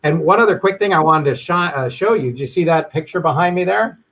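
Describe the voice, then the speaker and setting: A man's voice: fairly high pitch, lively intonation, quick pace, fairly bright tone. A middle-aged man from Hawaii, an eye surgeon chatting as a radio guest in a friendly way that drifts into promotion. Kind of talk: casual radio chat.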